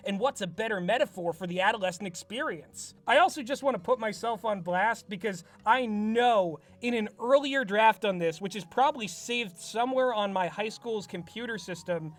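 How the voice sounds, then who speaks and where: A man in his twenties reading from his old script and giving comedic commentary on it in a wry, self-mocking way. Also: high-pitched. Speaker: writer and host.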